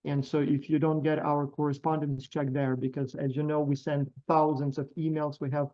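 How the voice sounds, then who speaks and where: A man's voice: a little high, little pitch movement, moderate pace. A middle-aged man with a Ukrainian accent, a professor and program founder, talking loosely on a video call with many fillers and restarts. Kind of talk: casual online meeting talk. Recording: dull lo-fi video call audio.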